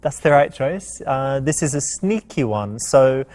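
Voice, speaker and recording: Male dating coach, mid-pitched voice, conversational, explanatory commentary. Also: animated, measured pace, darkish tone.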